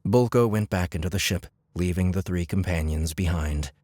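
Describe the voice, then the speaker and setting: A man's voice: low-pitched, moderate pace, a little mellow, dry recording. A man reading scripted fiction narration as an audiobook narrator.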